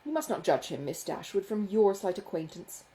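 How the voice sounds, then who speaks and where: Female reader as smug young dandy, affected, gushing, condescending, very animated pitch swings, mellow tone, storybook dialogue. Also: moderate pace, close to an everyday voice. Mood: mock concern. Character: foppish, self-satisfied young gentleman, glibly pitying his brother. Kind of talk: dramatised audiobook dialogue from a classic novel.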